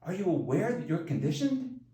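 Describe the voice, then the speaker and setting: A man with a notably high voice narrates a self-help book. He phrases deliberately and emphatically, pausing between points. The sound is clearly echoey, as if he sits some way back from the microphone. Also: sing-song, dark tone. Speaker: author reading own audiobook. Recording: roomy echo, distant from mic.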